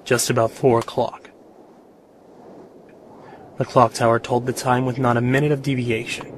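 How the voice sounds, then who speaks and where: Sober man narrator, played by a male actor: flat, medium pitch, restrained visual novel read over noisy ambience. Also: normal speed, natural voice. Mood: sober, descriptive. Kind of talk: first-person visual novel narration, fan dub.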